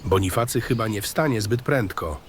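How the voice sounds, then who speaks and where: A man's voice: fairly low pitch, expressive, moderate pace, slight background noise, natural voice. A man voicing a good-natured, apologetic writer and country host in a fantasy audio drama, folksy, polite and eager to please. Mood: polite, chatty.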